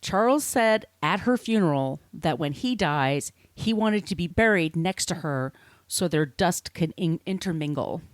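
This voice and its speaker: A woman's voice: on the low side, expressive, measured pace, no room sound. An American woman hosting a podcast, telling a story from notes conversationally, with informal, wry asides. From United States. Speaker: podcast host.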